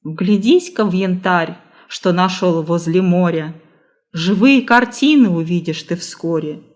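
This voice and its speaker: A woman reading a verse folk tale aloud in a measured, dramatic storytelling manner. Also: fairly low pitch. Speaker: reader, library staff.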